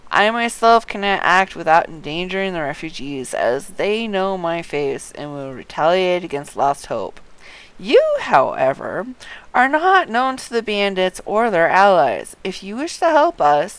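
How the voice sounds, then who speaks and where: A woman's voice: lowish voice, rough recording. A woman streaming a game walkthrough, reading quest text aloud and casually muttering to herself as she plays.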